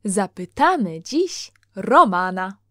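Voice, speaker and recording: A woman's voice: mid-range voice, big pitch swings, slow pace, fairly bright tone, no echo, studio quality. A woman hosting a children's programme, telling stories playfully and speaking straight to her young listeners. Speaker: host and storyteller of a children's programme. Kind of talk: storytelling for children.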